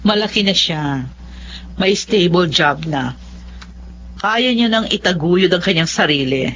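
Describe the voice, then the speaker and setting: A woman's voice: lowish voice, animated, a little slow, fairly bright tone, slight background noise. An actress playing a stubborn, lovestruck middle-aged widowed mother in an emotive, insistent Filipino radio drama style. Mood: defensive. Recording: dull lo-fi radio drama sound.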